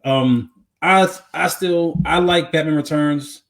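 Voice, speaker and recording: A man co-hosting a podcast in casual conversation, talking haltingly with repeats and frequent check-in phrases to the listener. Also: on the high side, vocal fry. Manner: halting, repetitive, peppered with "you know what I'm saying". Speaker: podcast co-host. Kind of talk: casual chat about movies.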